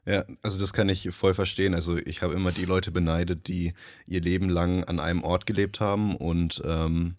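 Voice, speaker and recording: A young man who grew up in Nepal, hosting a casual podcast in a relaxed, hesitant way. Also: sits low, normal speed. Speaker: podcast host. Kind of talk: casual conversation.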